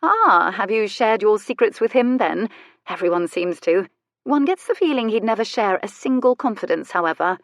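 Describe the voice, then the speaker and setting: A woman's voice: medium pitch, animated, normal speed, dry recording, pitched above the performer's own voice, lightly acted. A woman acts out a worldly young widow and courtesan from a romance storybook. She is cool, bold and unflappable, with playful wit. The recording is close and dry.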